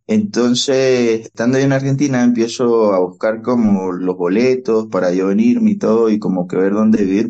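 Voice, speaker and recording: Mellow Venezuelan man telling stories. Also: fairly low pitch. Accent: Venezuelan Spanish. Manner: animated storyteller, reenacts dialogue. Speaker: podcast guest. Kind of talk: storytelling interview. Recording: dull, lo-fi podcast audio.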